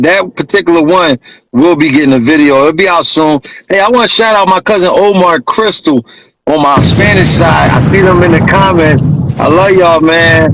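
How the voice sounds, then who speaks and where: A man's voice: a little high, a bit bright, slight background noise, poor audio. A man chatting casually in slang as an interviewed rapper. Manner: slangy, conversational.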